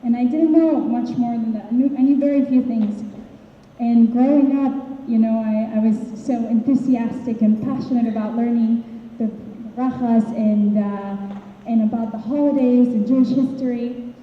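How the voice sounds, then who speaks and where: Tearful teacher's farewell in an echoey hall. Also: female voice, on the high side, steady tone, normal speed. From Peru. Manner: hesitant, many restarts and fillers. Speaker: departing Hebrew school teacher. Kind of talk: emotional farewell speech. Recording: echoey hall, tinny phone-like sound, faint hiss.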